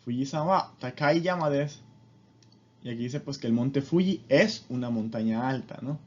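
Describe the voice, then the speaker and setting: A man's voice: medium pitch, moderate pace, a little mellow, dull audio. A man giving an informal, explanatory language lesson as a teacher, with a didactic manner and frequent short check-in questions.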